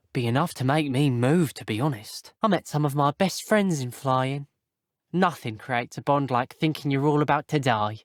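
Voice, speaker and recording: A man's voice: medium pitch, dry recording, studio quality, close to an everyday voice. A man voicing an earnest, chatty teenage wizard schoolboy in a video game, with a British accent and a polite, wry, old-fashioned turn of phrase. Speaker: voice actor. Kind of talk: scripted video game character voice clips.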